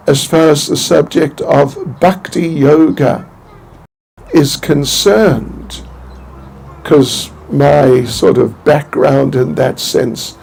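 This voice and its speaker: An older man from New Zealand giving an informal spiritual talk, halting and rambling, with many restarts and small chuckles. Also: on the high side, vocal fry, a little mellow, husky. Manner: chuckles to himself. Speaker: spiritual teacher.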